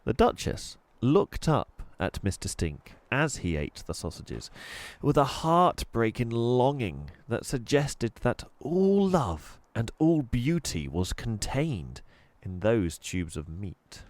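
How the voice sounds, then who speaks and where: A man narrating a children's storybook expressively, with deliberate, dramatic emphasis. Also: mid-range voice, sing-song, a little mellow, no room sound. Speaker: storybook reader.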